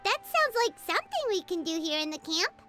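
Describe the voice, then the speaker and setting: A woman voicing a tiny, cheerful, childlike fairy companion in a video game, squeaky, bubbly and curious. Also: big pitch swings, brisk, music in the background. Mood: excited, chirpy. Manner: bubbly, refers to self in third person.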